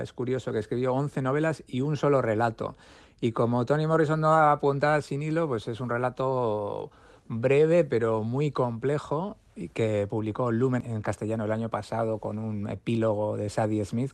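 A man with a medium-pitched voice gives book commentary as a guest. His delivery is conversational, and the sound is very dry. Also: darkish tone, no room sound.

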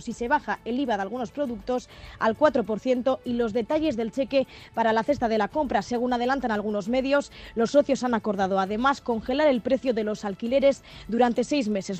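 Female reporter, voice a touch high, live report. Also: darkish tone.

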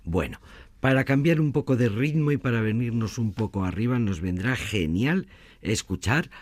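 A man's voice: medium pitch, expressive, moderate pace, a little mellow, no echo, studio quality. A man presenting a radio music show in a chatty, casual way, with small restarts.

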